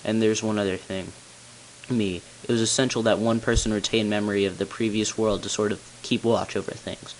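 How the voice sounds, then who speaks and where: A man playing a tall, solemn bell ringer who reveals long-kept secrets in grave, deliberate narration for a game. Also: on the low side, steady tone, natural voice. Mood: earnest, confiding. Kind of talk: scripted character narration, amateur game voice-over. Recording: hissy, noisy amateur home recording.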